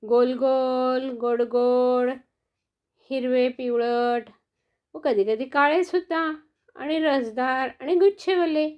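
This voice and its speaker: A cheerful little elephant boy from a storybook gushes excitedly over something sweet he ate, voiced by a woman storyteller. He draws his words out slowly and a little high. The sound is slightly dull and lo-fi.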